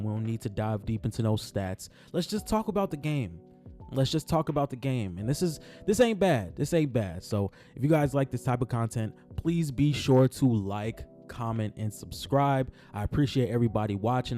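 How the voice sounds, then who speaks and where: A man talking casually about basketball in his own vlog, his voice raspy from just waking up, laid-back and repeating himself as he speaks from the heart. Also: lowish voice, dark tone. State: raspy morning voice. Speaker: sports vlogger. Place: on his way to work.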